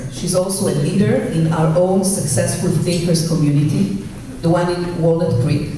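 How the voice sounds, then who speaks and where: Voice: mid-range voice, dark tone. A host reading a formal introduction of the guest speaker to a gathered audience. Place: meeting room with audience. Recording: echoey hall, distant mic, crowd noise.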